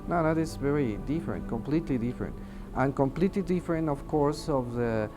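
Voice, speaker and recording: A man with a Mexican accent, a native Spanish speaker, gives interview testimony as an air traffic controller, haltingly searching for his English words. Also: medium pitch, darkish tone. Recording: music under the voice. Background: music.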